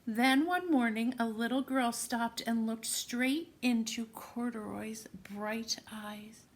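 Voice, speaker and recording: Slow, higher-pitched woman reading to children. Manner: encouraging, addresses children directly as friends. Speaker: children's read-aloud presenter. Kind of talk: storybook read-aloud with questions to young children.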